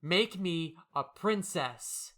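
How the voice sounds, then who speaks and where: Man voicing an indignant, high-pitched young unicorn mare. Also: lively intonation, drawn-out words, pushed and bright, full character voice. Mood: demanding, desperate. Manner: indignant, demanding, dramatic delivery. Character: angry, ambitious young unicorn student pony, female, entitled and pleading.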